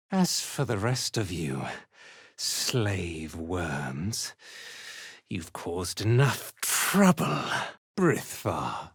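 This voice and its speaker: A man playing a haughty, zealous dark elf cult commander in a video game, with an imperious, contemptuous and theatrical delivery. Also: mid-range voice, no echo, clean studio sound, exaggerated voice. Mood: furious, contemptuous. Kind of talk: scripted video game character acting.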